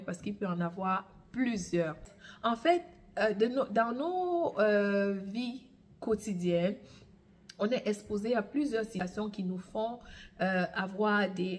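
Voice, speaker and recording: A woman in her thirties with a slight cold, hosting an advice vlog in a measured, emphatic way and pausing to search for words. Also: mid-range voice. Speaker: host of a natural health and hair care channel. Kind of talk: explanatory advice vlog addressed to the viewer. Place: small room at home, indoors.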